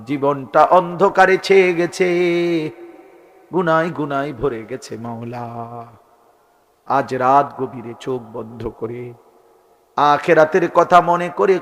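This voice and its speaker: A man leading a late-night supplication prayer. He chants and pleads, repeating his appeals and rising into emotional cries. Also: medium pitch. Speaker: prayer leader.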